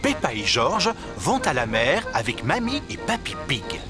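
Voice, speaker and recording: A man's voice: medium pitch, huge pitch swings, measured pace. A man narrating a children's cartoon in a simple, explanatory storytelling way. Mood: plain storytelling. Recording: loud music behind the voice.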